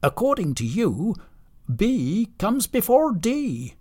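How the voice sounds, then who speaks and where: Storybook reindeer played by a man: proud, pedantic, argumentative, raised pitch, husky edge. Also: huge pitch swings, slow pace, darkish tone, heavily acted. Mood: indignant. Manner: indignant, pedantic.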